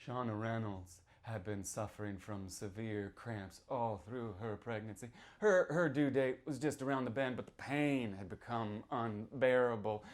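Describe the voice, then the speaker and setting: A man performing a sly small-town local who tells a witch legend, theatrical and confiding, moving between hushed and declaimed delivery. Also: on the low side, a little slow, few pauses, voice pushed down, lightly acted. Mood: grave, building. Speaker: author reading from own novel. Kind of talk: dramatic live reading of a horror story.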